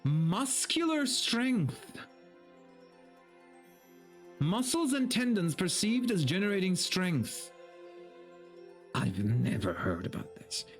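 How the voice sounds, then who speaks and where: A man's voice: high voice, very animated, slow pace, long pauses. A man doing a dramatic story reading as a streamer, switching between theatrical narration and casual, amused, profane commentary. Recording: background music under the voice. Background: video game music.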